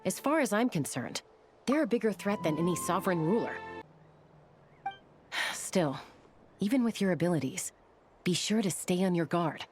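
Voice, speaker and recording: A level-headed, caring heroine in a game, acted by a woman. She speaks in a lowish voice with a serious, practical, cautious manner over background music. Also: expressive, moderate pace, long pauses, a little mellow, close to an everyday voice. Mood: concerned. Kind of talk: video game voice acting.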